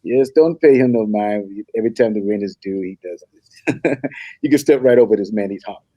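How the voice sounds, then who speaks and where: A man in a comedy table read playing an apologetic, flustered suitor who stammers and fibs, very polite and halting. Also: lowish voice, mellow, no room sound, muffled recording, natural voice. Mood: sheepish warning. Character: apologetic, flustered man, nervously fibbing to impress his date.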